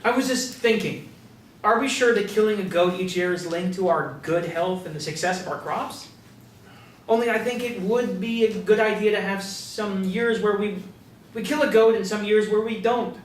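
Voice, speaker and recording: A man's voice: high voice, normal speed, natural voice. A man playing a naive, frightened young villager cast out of a cult, telling his story earnestly in a staged play reading. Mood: nervous. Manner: earnest storyteller, stammering when pleading. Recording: roomy, distant stage recording with noise.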